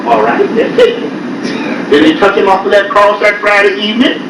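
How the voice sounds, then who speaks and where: Man, Guyanese accent, preacher, high voice, echoey far-off room, loud noise. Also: wildly swooping pitch, a little fast. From United States. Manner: preaching with sudden shouted rises. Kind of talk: call-and-response sermon.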